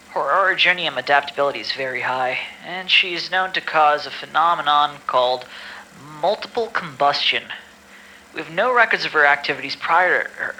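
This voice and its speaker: A man's voice: medium pitch, bright, forceful tone, a little hiss or hum, rough recording. A man playing an exasperated medical staffer in an audio drama, reading patient files formally with weary, grumbling asides. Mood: formal, puzzled. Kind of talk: amateur audio drama acting.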